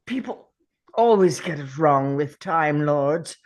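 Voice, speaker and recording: Performer acting a dying male alien lord, slow, halting, pained, grim and stoic, sci-fi drama monologue. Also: mid-range voice, lively intonation. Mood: weary, grim. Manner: halting, sighing, pained delivery. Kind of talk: dramatic monologue in a script table read.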